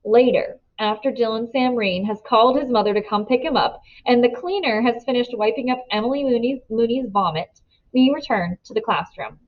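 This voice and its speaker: A woman narrating a children's novel aloud in an expressive storytelling style. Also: fairly high pitch, brisk, highs cut off.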